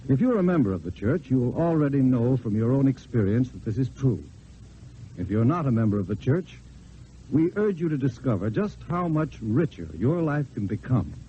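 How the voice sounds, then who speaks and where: A man giving an earnest, measured, scripted inspirational address on vintage radio. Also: mid-range voice. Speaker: spokesman delivering a church message. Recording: dull vintage radio recording, faint hiss.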